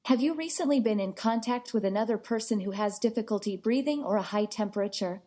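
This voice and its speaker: A woman's voice reading screening questions as an automated app prompt, in an even, neutral manner. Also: medium pitch, fast.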